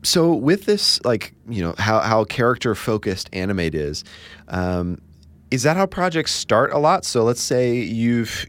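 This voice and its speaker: A man hosting a casual interview, asking long rambling questions full of "like" and "you know". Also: lowish voice. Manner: rambling, chatty questions with many "like"s.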